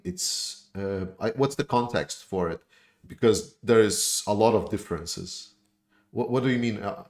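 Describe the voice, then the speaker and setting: A man from Latvia hosting a casual live Q&A, reading out viewer questions and answering them loosely, with frequent fillers and restarts. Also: on the low side. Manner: casual, with many fillers, restarts and stammered repeats. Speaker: YouTube channel host.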